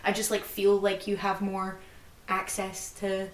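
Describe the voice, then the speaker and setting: A woman's voice: medium pitch, completely flat delivery, unhurried, recorded from a distance. A young woman co-hosting a podcast in casual chat, rambling and filling her talk with "like". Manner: rambling, fills speech with "like". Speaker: podcast co-host. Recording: roomy, slightly off-mic.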